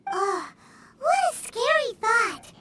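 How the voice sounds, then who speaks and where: Video game sidekick: little excitable female creature, extremely high voice, animated, eager. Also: slow, far from the mic, exaggerated voice. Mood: earnest, uneasy. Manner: exclaiming, eager. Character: small, childlike, excitable female flying companion creature. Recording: loud background music under the voice.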